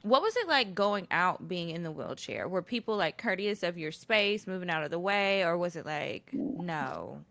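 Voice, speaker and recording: A woman's voice: lowish voice, expressive, normal speed, hardly any pauses, fairly bright tone, dry recording. Woman podcast host, close dry sound. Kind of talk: casual conversational interviewing.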